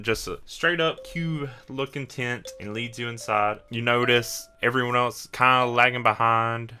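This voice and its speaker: A man's voice: mid-range voice, bright, forceful tone. A man narrating an improvised tabletop game as game master, descriptive and feeling out his phrasing as he goes.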